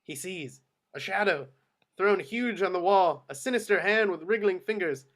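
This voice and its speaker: A man reads out stage directions for a table read. His voice is on the high side for a man, in a scripted narration style. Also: normal speed, a bit bright.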